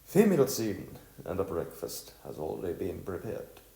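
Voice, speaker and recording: A man reading scripted storybook narration in a halting way, stumbling over words and repeating them. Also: low-pitched, huge pitch swings, vocal fry, dark tone. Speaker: narrator of an audiovisual novel. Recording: echoey room, music underneath.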